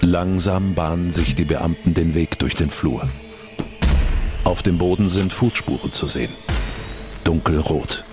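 A man's voice: deep voice, a little slow, pauses often, music in the background. A man giving dramatic scripted narration for a true-crime story.

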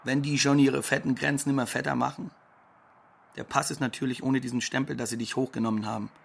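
A man's voice: medium pitch, flat delivery, fast, vocal fry, husky. An actor playing a wry, defiant undocumented Ukrainian migrant man, speaking a blunt, sardonic monologue in conversational style.

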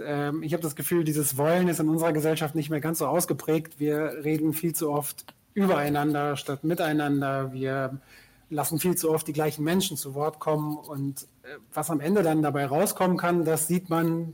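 A man's voice: on the high side, darkish tone. A man giving an earnest, articulate and careful answer as an interview guest.

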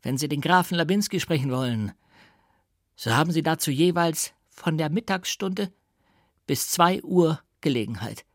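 A man's voice: on the high side, animated, moderate pace, dry recording, clean studio sound, pitched above the performer's own voice. A man voicing a haughty young count, an arrogant aristocrat with cold, formal politeness, in a literary audiobook. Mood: icy politeness.

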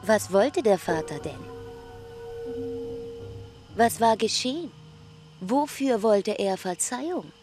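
Cool, dismissive officialdom; radio drama, deliberate slow questioning over prominent music. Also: female voice, medium pitch, expressive, a little mellow. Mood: coolly dismissive. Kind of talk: literary radio drama acting.

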